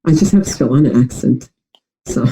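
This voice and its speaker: A woman from Russia with a New York-sounding American accent, a bit nasal from a recent cold, speaking earnestly with many pauses and fillers in a video-call interview. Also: extremely low pitch, lively intonation, mellow, a little hiss or hum, poor audio. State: slightly nasal from recent illness. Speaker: interview guest, adoptee.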